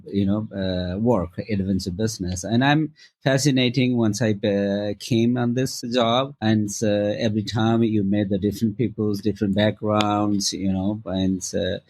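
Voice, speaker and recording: A middle-aged man from Nepal with a Nepali accent, answering interview questions in a halting way with many fillers and restarts. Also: fairly low pitch, darkish tone. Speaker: guest, travel company founder.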